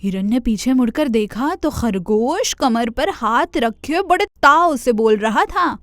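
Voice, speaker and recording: A woman narrating a children's cartoon fairy tale in an expressive storytelling manner, speaking to kids. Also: fairly high pitch, no echo, studio quality.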